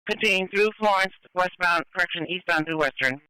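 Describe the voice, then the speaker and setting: A man's voice: high-pitched, a little fast, bright, forceful tone, clear, resonant tone, no echo, poor audio. A man working as a police radio dispatcher, relaying pursuit updates in a clipped, procedural way over a scanner. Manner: clipped, procedural, repeating reports. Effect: police radio transmission.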